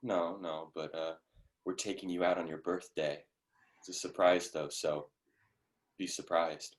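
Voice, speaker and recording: Casting: male actor as young secret lover, deep voice, tender yet impatient, natural intimate dialogue. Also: little pitch movement, a little slow. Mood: affectionate, casual. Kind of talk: staged play reading, conversational.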